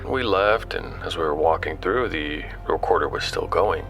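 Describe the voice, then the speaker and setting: Actor as a low-voiced, halting male explorer. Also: creaky, fairly bright tone. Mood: reflective. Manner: halting, conversational, stumbles over words. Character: curious male explorer recounting his expedition into a recorder. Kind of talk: audio drama acting, hesitant recorded-log narration. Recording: music bed under the voice.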